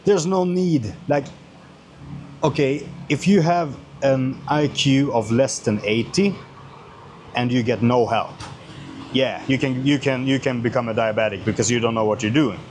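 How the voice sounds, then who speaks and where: A man's voice: medium pitch, measured pace, a little mellow, a little hiss or hum. A man from Sweden with a Swedish accent talks casually and bluntly as a YouTuber answering questions, swearing often and repeating phrases for emphasis.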